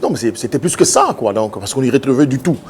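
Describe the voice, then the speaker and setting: A man, answering as a politician guest in an earnest, halting way with many filler sounds. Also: mid-range voice, big pitch swings, darkish tone. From Democratic Republic of the Congo. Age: 50s. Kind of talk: TV interview answers.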